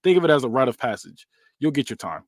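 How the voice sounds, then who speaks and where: A man's voice: medium pitch, very animated, fast talker, dry recording. A bossy male manager lectures his young charge in a dismissive tone. A man voices him close to his everyday speaking voice. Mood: lecturing.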